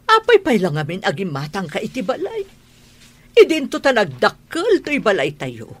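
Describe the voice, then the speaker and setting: A woman with an Ilocano accent playing a stern, proud middle-aged mother in a radio drama, scolding her son in a breathy voice. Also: medium pitch, moderate pace. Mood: reproachful. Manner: scolding, lively and sharp.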